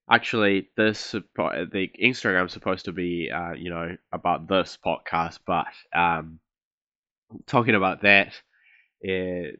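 A man's voice: low voice, bright, forceful tone, no room sound, dull audio. A young man from Chile hosting his own podcast, talking in a rambling, hesitant, self-deprecating way, with an accent that blends American and Australian. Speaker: podcast host. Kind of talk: casual solo podcast monologue.

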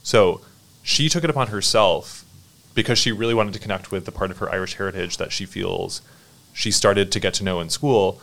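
A man hosting a podcast with a very low voice. He speaks in a measured, thoughtful way and asks his guests reflective questions. He also introduces the segments of the show. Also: vocal fry, a bit bright. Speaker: podcast host.

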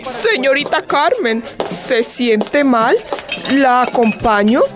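An actress playing a meek, solicitous servant and nanny in an audio drama, deferential and fussing. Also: female voice, high voice, expressive, slow pace, crowd noise. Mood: worried. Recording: clear music bed behind.

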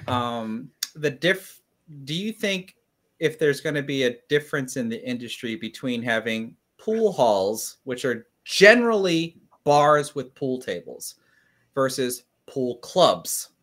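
A man's voice: mid-range voice, expressive, takes it slowly, fairly bright tone. A male guest on a livestream, asking questions hesitantly with restarts. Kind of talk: casual livestream chat.

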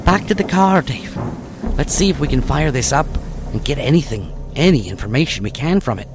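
A man voicing a brisk, composed, motherly female police detective in a story. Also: mid-range voice, expressive, background noise, voice pushed up, lightly acted. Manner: brisk, calls people love and dear.

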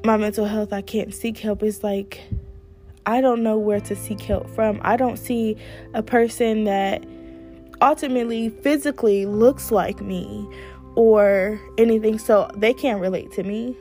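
A young woman hosting her own podcast, talking candidly and casually with many fillers, her voice a little hoarse. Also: mid-range voice, fairly even intonation, unhurried, dark tone, soft background music. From United States. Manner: chatty, candid, lots of fillers. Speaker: podcast host. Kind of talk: casual solo talk.

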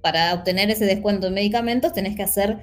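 A woman's voice: mid-range voice, fast, faint music behind. Earnest Argentine woman in a discussion. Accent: Rioplatense Spanish. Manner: earnest, animated, many fillers. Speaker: panelist. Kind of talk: casual discussion.

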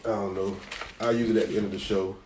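A man's voice: lowish voice, steady tone, quick pace, noisy background. A man chatting casually as a show host, blunt and teasing, with heavy swearing and slang. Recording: roomy, far from mic, people chattering behind.

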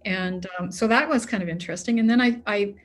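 A woman's voice: medium pitch, a little fast. A woman telling a travel story in casual conversation, rambling, with many fillers and restarts. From Canada.

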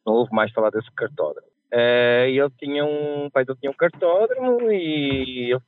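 A man from Portugal with a Portuguese accent softened by Brazilian speech, telling stories with a laugh as a podcast guest. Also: mid-range voice, lively intonation, moderate pace. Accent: Portuguese, softened by years in Brazil. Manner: chatty, laughs at his own mishaps. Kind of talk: casual storytelling. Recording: tinny phone line.

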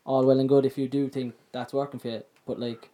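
Opinionated guest, heavy "like". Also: male voice, mid-range voice, level tone, brisk, dark tone. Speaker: guest player. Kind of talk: casual chat.

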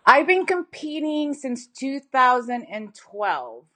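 Female interview guest, forties, very bright forward voice, conversational. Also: high-pitched, sing-song, takes it slowly. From Philippines. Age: 40s. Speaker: podcast guest, bikini athlete and coach. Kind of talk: casual interview answers.

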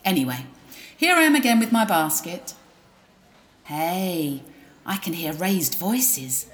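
A woman's voice: lowish voice, very animated, slow pace, a little hiss or hum. A woman narrating a drama in a wry, gossipy first-person voice.

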